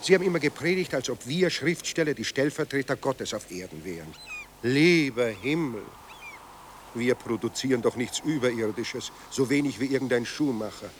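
An actor playing a sardonic, bitter dead writer, newly sober, speaking with ironic, theatrical eloquence in a radio drama. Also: male voice, medium pitch, expressive, moderate pace, slight background noise, close to an everyday voice. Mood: scornful.

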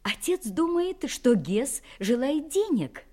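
An actress playing a refined, self-possessed young gentlewoman, candid and faintly mysterious, in an audio drama, speaking elegantly with dramatic pauses. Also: high voice, big pitch swings, exaggerated voice. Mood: confiding, troubled. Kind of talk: Russian audio drama acting.

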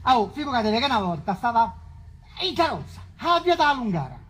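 A woman's voice: on the high side, sing-song, fast, fairly bright tone, slightly rough, heavily acted. A woman playing a brash, devout Roman commoner in colloquial stage dialogue, with a Roman accent. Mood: earnest. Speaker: stage actor.

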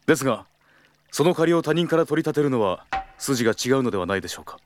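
A man acting a protective, hot-tempered senior colleague in an audio drama, firm and formal in confrontation, otherwise blunt and rough. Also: mid-range voice, expressive, quick pace, soft background music, lightly acted. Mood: firm, defiant.

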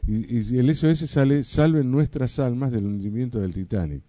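A man's voice: mid-range voice, moderate pace, warm tone, no room sound. A man with a Rioplatense Argentine accent co-hosting a radio show, bantering casually and grumbling contrarily.

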